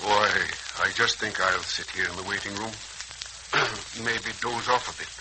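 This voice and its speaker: An older actor playing a lonely, gentle, reflective old uncle in a 1940s radio serial, ruminative in delivery. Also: male voice, lowish voice, steady tone, unhurried, close to an everyday voice. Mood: easygoing, weary. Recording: noisy, crackling old 1940s radio recording.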